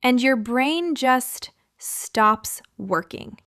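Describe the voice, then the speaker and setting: A young woman from California co-hosting an English-learning podcast, speaking clearly like a teacher and laughing often. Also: high voice, expressive, very slow, fairly bright tone, dry recording, studio quality. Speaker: podcast co-host.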